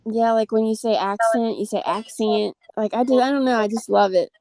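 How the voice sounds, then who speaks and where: Gravelly-voiced Southern woman host. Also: on the high side, steady tone, fairly quick, warm tone. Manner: chatty, rambling, laughs easily. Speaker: live stream host. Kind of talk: casual chat.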